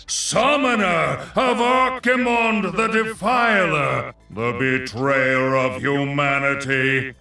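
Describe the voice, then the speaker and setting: A man's voice: high-pitched, sharp tone, distant mic, exaggerated voice. A man voicing a pompous, sardonic undead archlich sorcerer for a video game, with theatrical menace, dry asides and a hollow echo. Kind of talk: video game character voice lines.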